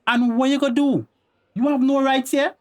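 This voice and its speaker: A man's voice: extremely high pitch, fairly even intonation, moderate pace, a little mellow. A man playing a defensive, indignant stepfather with a Tobagonian accent in a radio drama. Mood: angry, confrontational. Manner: combative, Creole phrasing. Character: defensive, indignant common-law husband and stepfather.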